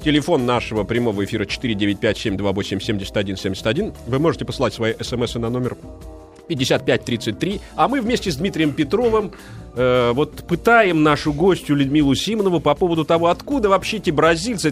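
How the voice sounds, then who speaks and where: A man hosting a live radio talk show, brisk and joking. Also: on the high side, expressive, soft background music. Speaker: radio host.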